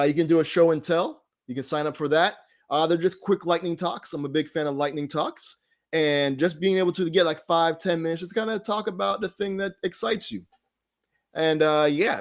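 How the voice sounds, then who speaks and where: A man casually hosting a live online group, talking off the cuff in a rambling, laid-back way and often trailing off mid-thought. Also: fairly high pitch. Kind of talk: casual, off-the-cuff livestream talk.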